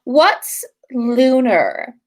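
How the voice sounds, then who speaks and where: A woman's voice: a little high, very animated, drawn-out words, voice pushed up, heavily acted. A woman voicing a snobbish, imperious teenage witch girl in a storybook reading, haughty and clipped. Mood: imperious, curt.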